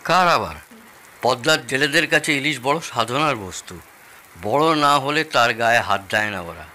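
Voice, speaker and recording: A man's voice: mid-range voice, expressive, normal speed, bright, forceful tone. An actor playing a jovial, proud older Bengali father-in-law in an audio drama, explaining things expansively and with relish. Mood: earnest, critical.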